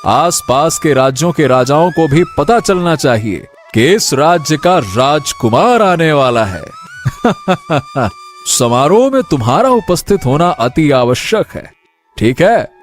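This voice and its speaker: A man's voice: on the high side, very animated, takes it slowly, faint music behind. A man playing a jubilant, generous king in a cartoon story, speaking in an exuberant, effusive manner. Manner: exuberant, effusive, frequent laughter. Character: jubilant, generous king and proud expectant father. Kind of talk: Hindi cartoon story acting.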